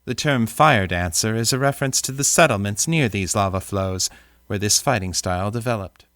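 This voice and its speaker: A man's voice: lowish voice, normal speed, a bit bright, no room sound. A British man voicing an audiobook, breaking off into joking asides and silly sing-song bits between lines. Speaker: audiobook voice actor. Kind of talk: playful recording outtakes.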